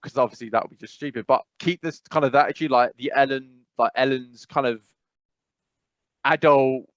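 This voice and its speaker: A man's voice: mid-range voice, moderate pace, fairly bright tone, no room sound, lo-fi sound. A man co-hosting a podcast in casual chat, rambling and often correcting himself mid-sentence. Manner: rambling, self-correcting, frequent "I must be honest". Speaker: podcast co-host.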